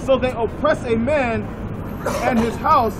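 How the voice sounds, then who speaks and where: Brief: man reading verses outdoors, very high voice, steady delivery, heavy traffic noise. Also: a little slow, over a noisy crowd. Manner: reads verses aloud, plain and steady. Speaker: scripture reader. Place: outdoors on a city street. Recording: loud traffic and street noise.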